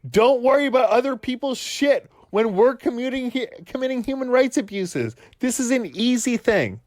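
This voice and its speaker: A man co-hosting a sports talk podcast who argues heatedly and swears constantly, ranting at his brother with forceful conviction. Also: extremely high pitch, lively intonation, normal speed, dry recording. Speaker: podcast co-host.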